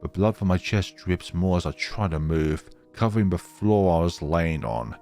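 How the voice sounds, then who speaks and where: A man reading a horror visual novel aloud in a theatrical, halting way, with casual asides. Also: deep voice, dark tone, soft background music.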